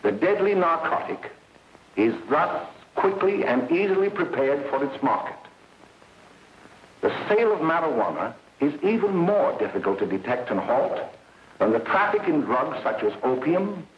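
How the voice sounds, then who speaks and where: A stern, alarmist doctor orating in a 1930s propaganda film. His highish voice rises and falls emphatically, grave and melodramatic, on a muffled, echoey old soundtrack with faint noise. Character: stern, alarmist doctor lecturing parents on drugs.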